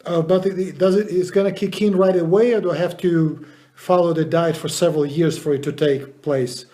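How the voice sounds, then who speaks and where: A man asks questions in an eager, curious way, sometimes cutting in to press a point. His voice sits a little high for a man. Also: fairly even intonation, a little fast, warm tone. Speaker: interviewer. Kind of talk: casual interview.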